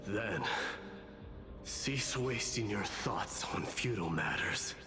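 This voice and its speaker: Low, slow, stern warrior lord. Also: male voice, lively intonation, music in the background. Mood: stern, commanding. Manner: stoic, weighty, formal. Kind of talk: dramatic video game voice acting.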